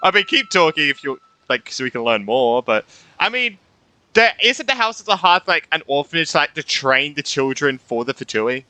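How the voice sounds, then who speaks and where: A man's voice: fairly high pitch, big pitch swings, moderate pace, pushed and bright, dry recording. A man giving casual playthrough commentary, reacting aloud in an informal, rambling way. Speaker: gaming streamer. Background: game music.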